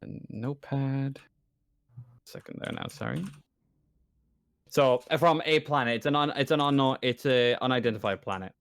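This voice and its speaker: A man running a tabletop roleplaying game as game master, talking casually and often halting as he searches for his words. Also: medium pitch, clean tone, dry recording.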